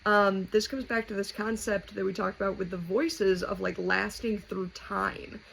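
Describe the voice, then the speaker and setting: A woman's voice: medium pitch, flat delivery, unhurried, a bit bright. A woman hosting a casual explanatory lesson, talking informally and conversationally as she thinks aloud. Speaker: host of a poetry explainer. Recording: clearly noisy home recording.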